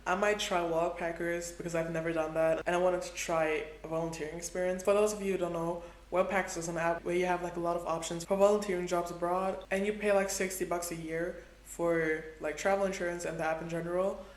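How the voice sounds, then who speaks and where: Young man, twenties, casual vlog chat, high voice, roomy echo. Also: level tone, brisk, few pauses. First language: Portuguese is his second language. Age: 20s. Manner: chatty, heavy use of "like". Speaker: travel vlogger. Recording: echoey room with faint ambience.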